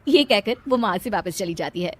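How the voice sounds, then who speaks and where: A woman's voice: mid-range voice, animated, fairly quick, background noise. A woman narrator reading a scripted moral story for a cartoon.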